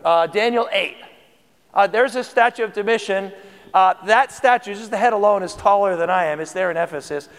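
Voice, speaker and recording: A man preaches a sermon with a fairly high voice, his throat tired from singing. He talks conversationally and asks the congregation questions. His voice carries a long reverb from a church hall. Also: fairly quick, fairly bright tone, clear, resonant tone. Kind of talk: sermon teaching.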